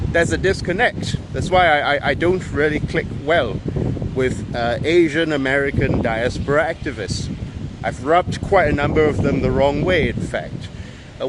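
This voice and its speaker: Malaysian peranakan guy ranting to camera, kinda high voice, wry, traffic noise outside. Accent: Malaysian Peranakan English. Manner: emphatic, wry, with frequent restarts. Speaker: video essayist. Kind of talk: monologue to camera.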